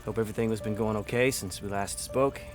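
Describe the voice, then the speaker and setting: A man's voice: on the low side, fairly even intonation, fast, lightly acted. A man playing a dutiful, weary captain of a space freighter in a sci-fi radio drama. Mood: tender, weary. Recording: loud music under the voice.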